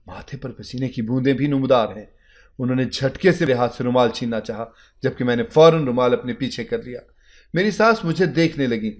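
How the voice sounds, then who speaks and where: A man with a medium-pitched voice reads a scripted story in the first person. He narrates it dramatically, as a storyteller.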